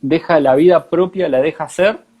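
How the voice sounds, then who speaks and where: A man hosting a casual live conversation, halting and repeating words as he forms his thoughts. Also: fairly high pitch, mellow. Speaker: host, interviewer.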